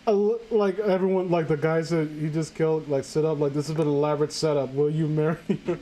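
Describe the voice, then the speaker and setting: High-pitched incredulous man reading aloud. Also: fairly even intonation, quick pace, mellow, poor audio. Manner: incredulous, stumbling over the text. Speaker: host reading aloud and commenting. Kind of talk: casual mocking reading of amateur fan fiction with friends.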